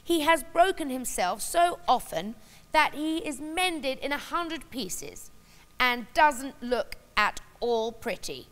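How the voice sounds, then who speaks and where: A woman's voice: high voice, big pitch swings, slow, a bit bright, heavily acted. A woman voicing a dainty young china princess from a storybook, prim and genteel. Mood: chatty.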